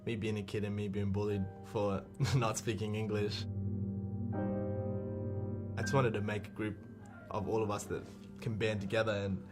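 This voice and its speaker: A man's voice: lowish voice, level tone, normal speed, long pauses. A young man whose first language was Thai, a hip hop artist speaking thoughtfully in an interview. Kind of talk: reflective interview. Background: music playing.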